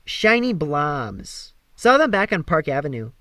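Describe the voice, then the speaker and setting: A man's voice: a little high, very animated, a little slow, pushed and bright, no room sound, exaggerated voice. A man voicing a blunt, wary, street-smart young girl in a storybook, speaking plainly. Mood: matter-of-fact. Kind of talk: storybook character reading.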